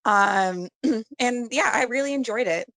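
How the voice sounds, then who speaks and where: A woman's voice: a little high, a little slow, a bit bright, dry recording. Chatty, giggly woman, close and dry. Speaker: podcast guest. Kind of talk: casual chat about games and films.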